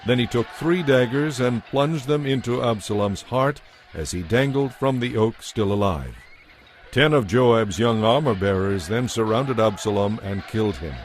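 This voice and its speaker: Low-pitched solemn male narrator. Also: slight background noise. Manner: measured, solemn storytelling. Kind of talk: scripted dramatized audiobook narration. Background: faint music.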